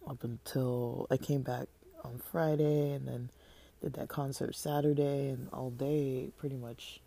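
Female vlogger, casual rambling delivery with lots of fillers, mellow tone, slightly stuffy and tired. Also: very deep voice, fairly even intonation, a little slow, gravelly, breathy edge. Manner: hesitant and rambling, many fillers and restarts. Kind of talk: casual vlog monologue.

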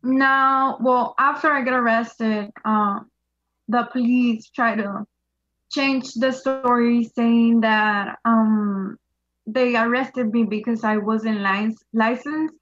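A young woman in her twenties answers interview questions hesitantly. She speaks very slowly in a slightly high voice. The audio is dry and dull. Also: fairly even intonation, dry recording. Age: 20s. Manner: hesitant, soft-spoken. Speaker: interview guest.

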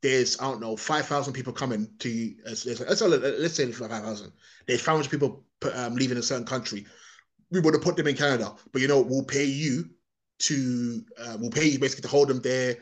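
Fast-talking rambling man. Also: medium pitch. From England. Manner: rambling, restarts often, repeats words. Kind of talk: opinionated podcast monologue.

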